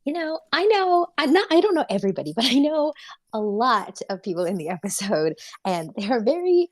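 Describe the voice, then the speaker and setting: A woman's voice: a little high, big pitch swings, brisk. A woman voice actor chatting casually as a panel guest, giggly and good-humoured. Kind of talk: casual chat.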